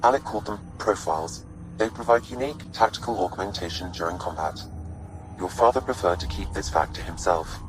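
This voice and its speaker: Man acting a very calm, low-voiced artificial intelligence. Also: over music, natural voice, poor audio. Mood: calm, informative. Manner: measured, formal, precise. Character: calm, courteous sentient artificial intelligence aiding an explorer. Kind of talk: video game voice acting.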